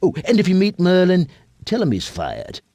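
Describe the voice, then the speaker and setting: A theatrical video game villain, smug and pompous. The voice is creaky and dry, with huge swings in pitch. Lines are drawn out slowly and gloatingly, as a tyrant savoring power. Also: male voice, wildly swooping pitch, dark tone, no room sound, exaggerated voice. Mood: smug, commanding. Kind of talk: video game cutscene acting.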